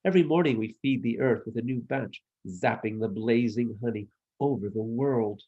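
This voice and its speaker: A man's voice: medium pitch, narrow, tinny audio, close to an everyday voice. A man voicing a frantically busy sunbeam bee in a children's storybook, chattering in zippy phrases. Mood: busy, boastful. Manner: rapid, buzzy alliterative chatter.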